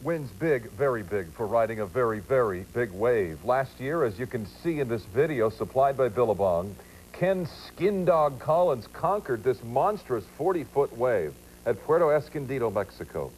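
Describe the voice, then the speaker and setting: American male news anchor, mid-pitched. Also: big pitch swings, moderate pace, darkish tone, soft background music, low-quality recording. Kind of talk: scripted news reading with light banter.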